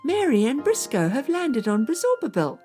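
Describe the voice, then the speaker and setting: A woman's voice: high-pitched, very animated, moderate pace, warm tone, faint music behind. A woman playing a dramatic storyteller who narrates a children's space adventure, theatrical and addressing listeners directly. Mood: excited. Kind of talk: scripted children's radio narration.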